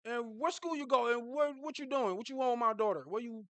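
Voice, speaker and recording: A man's voice: very high voice, brisk, clean tone, no echo, voice pushed up. A man acting out a protective father firing suspicious questions at his daughter's date. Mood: interrogating.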